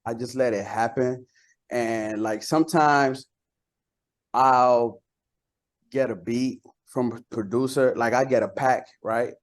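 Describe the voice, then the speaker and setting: A man's voice: mid-range voice, steady tone, takes it slowly. A man talking casually as an interview guest, a rapper using slang and repeating phrases as he tells anecdotes.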